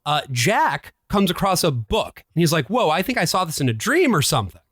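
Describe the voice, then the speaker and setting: Wry male podcast host, slightly high and animated, very dry close sound. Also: fairly quick, slightly rough, dry recording. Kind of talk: casual comedic recap.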